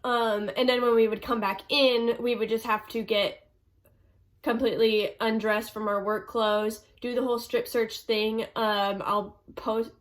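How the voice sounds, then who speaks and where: A young woman vlogger with a stuffy nose, answering viewer questions in a chatty way, full of ums and likes. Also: medium pitch. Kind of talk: casual Q&A vlog.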